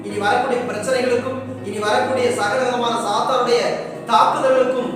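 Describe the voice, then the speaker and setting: A man's voice: very high-pitched, flat delivery, moderate pace. A man preaching a fervent sermon, exhorting emphatically with repeated rhetorical questions. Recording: echoey hall, distant mic, music behind.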